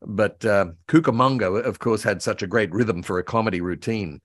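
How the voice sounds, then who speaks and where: Older Australian man, lowish voice. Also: moderate pace, no room sound, studio quality. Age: older, about 70. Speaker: interview guest, voice actor and author. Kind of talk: enthusiastic casual reminiscence. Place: room at home, on a video call.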